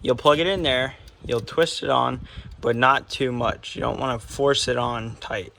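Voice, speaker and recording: A man's voice: medium pitch, steady tone, unhurried, gravelly, pushed and bright. A man giving an informal hands-on tutorial, talking as he works, on a slightly noisy home video with handling clicks. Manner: informal, talking while working with his hands. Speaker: vlogger demonstrating a CB radio installation. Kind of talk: casual hands-on tutorial talk. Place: garage, working at a car.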